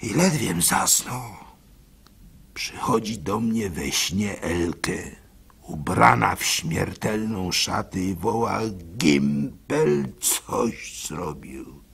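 A man telling a literary story in the first person, theatrical and expressive, with varied intensity. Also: lowish voice, slow pace, creaky.

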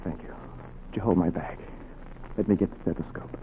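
An American actor playing an earnest, determined young surgeon in 1940s radio style, clipped and urgent. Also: male voice, sits low, moderate pace, phone-quality sound, lightly acted. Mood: pleading, determined. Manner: clipped commands, urgent pleading. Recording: noisy, thin 1940s radio recording.

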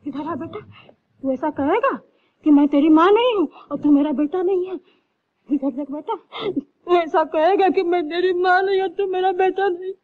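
A woman's voice: very high-pitched, moderate pace. An actress playing a poor village mother who pleads with her son through tears, in an old film drama. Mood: tearful pleading. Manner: pleading, tearful. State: crying. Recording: dull old film soundtrack.

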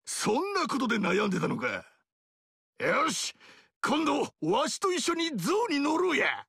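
A man voicing a boisterous, proud barbarian king with a gravelly, blustering, shouting delivery in video game dialogue. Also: extremely high pitch, wildly swooping pitch, unhurried, no room sound, studio quality, full character voice. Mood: boastful. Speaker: warlord character.

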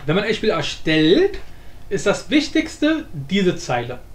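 A man giving a casual software tutorial in an informal, blunt, rambling way. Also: high-pitched, wildly swooping pitch, takes it slowly. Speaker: tutorial presenter. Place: indoor room, voice-over for screen recording. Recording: roomy echo, light background noise.

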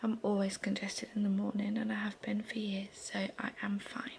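A woman's voice: completely flat delivery, normal speed, creaky, slight background noise. A woman in her thirties whispers a casual morning vlog into a close camera in her living room. She is tired and congested. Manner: soft whisper, tired and low-key. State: congested and fatigued. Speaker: vlogger. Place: living room at home, indoors. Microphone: camera close to the face.

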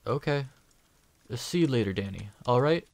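A woman plays a patient, kindly adventurer in a fantasy audio drama. The voice sits very low for a woman and is recorded dry. The delivery is gentle, casual and reassuring. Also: expressive, normal speed, no echo. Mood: casual, friendly.

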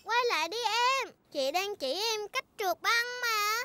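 A woman's voice: very high-pitched, animated, measured pace, heavy background noise. A woman voicing an eager, childlike little girl piglet, cheerful and bossy, in a children's cartoon dub. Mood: proud.

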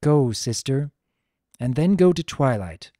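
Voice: mid-range voice, expressive, unhurried, warm tone, dry recording, studio quality. A narrator voicing a formal, earnest female pony princess in a dramatic story reading, with archaic, stately diction. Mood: warm, wistful.

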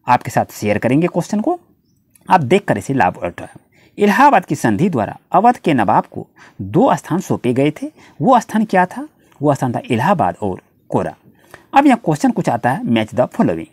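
A tutor with an Indian accent reading out questions and answers in a lesson, addressing students directly with repetitive explanations and halting when reading English. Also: medium pitch, darkish tone, slightly rough, dry recording, studio quality.

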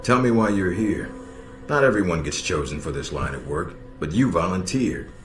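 An actor playing a stern, middle-aged American intelligence operations chief in a video game, measured and dryly sardonic. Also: male voice, low-pitched, expressive. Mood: probing, serious. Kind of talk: video game cutscene dialogue. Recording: echoey, music underneath.

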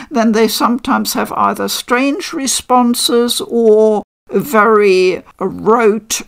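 An older woman hosting a casual unboxing review from her living room, chatty and measured with frequent pauses. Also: fairly high pitch, vocal fry. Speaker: game reviewer host.

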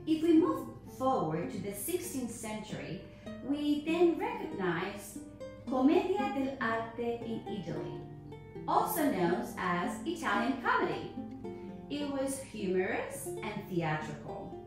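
A woman teaches in a high, expressive voice, setting out her lecture in careful, separated phrases. She sounds set back from the microphone in a very echoey room. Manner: deliberate phrasing with frequent pauses. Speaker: ballet teacher. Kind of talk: prepared educational lecture. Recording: echoey room, distant mic, music behind.

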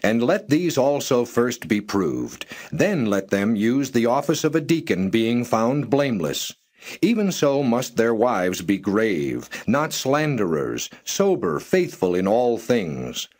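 Male narrator for scripture: solemn and measured, medium register with lively inflection. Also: mid-range voice. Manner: measured, solemn, formal delivery. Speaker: Bible narrator. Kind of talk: scripted scripture reading, non-dramatized.

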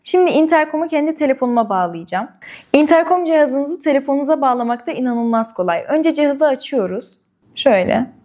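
High-voiced woman presenting a product review. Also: lively intonation, brisk, darkish tone. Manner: clear step-by-step explaining, addressing viewers directly. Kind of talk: product review and unboxing demonstration.

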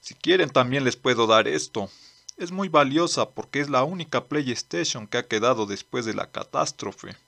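A man playing a blunt, earnest old lawman in a manga dub, speaking formally and deliberately. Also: mid-range voice, lively intonation, creaky, a bit bright. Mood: earnest, firm. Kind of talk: dramatic dubbing.

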